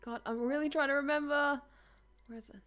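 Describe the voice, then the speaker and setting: A woman's voice: high-pitched, fairly even intonation, a little fast, fairly bright tone. A woman streaming a game, chatting casually with her viewers, self-deprecating and talkative. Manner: chatty, self-deprecating, reads chat aloud.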